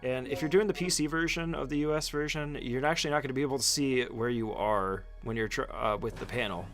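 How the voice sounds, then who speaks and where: A man's voice: medium pitch, a little fast, faint music behind. A man doing casual, conversational speedrun tutorial commentary, explaining each step in an informal way.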